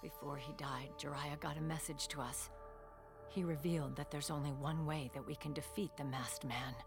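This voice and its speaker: Casting: middle-aged anime village chief, low, authoritative, no-nonsense. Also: female voice, steady tone, over music. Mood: grave. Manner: authoritative, measured. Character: stern, commanding middle-aged female village leader. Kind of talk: English anime dub.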